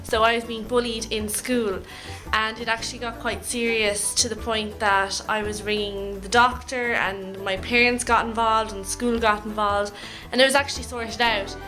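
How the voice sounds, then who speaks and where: Young Irish woman, higher pitch, bright clear-cut tone, casual interview, background music. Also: fairly even intonation, moderate pace, low-quality recording. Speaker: amateur actress being interviewed. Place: launch event at an arts centre.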